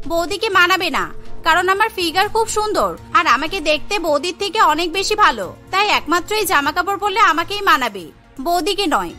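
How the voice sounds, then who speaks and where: A woman's voice: extremely high pitch, pushed and bright. A woman voicing a spoiled, jealous and vain young sister-in-law in a cartoon story, with a whiny, gushing manner. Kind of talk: Bengali cartoon story acting.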